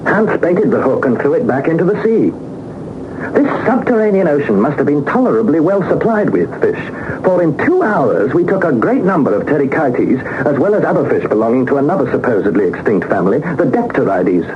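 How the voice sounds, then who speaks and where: An actor playing an earnest, inquisitive young nephew, speaking in an articulate, formal storytelling manner in a 1960s radio drama. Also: male voice, fairly high pitch, very animated, a little fast. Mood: reflective, wondering. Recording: muffled, noisy old radio broadcast.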